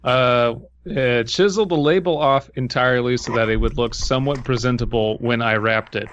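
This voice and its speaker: A man with an average-pitched voice on a podcast. He moves between casual banter and informal reading of a first-person story. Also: normal speed, fairly bright tone, dull audio. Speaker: podcast co-host.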